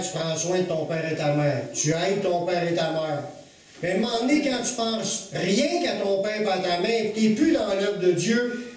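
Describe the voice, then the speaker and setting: A man with a Québécois accent preaching a sermon to a congregation, earnest, slowing to stress key phrases. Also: high-pitched, darkish tone, heavy reverb, recorded from a distance, poor audio. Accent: Québécois French. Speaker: pastor. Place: church hall with congregation.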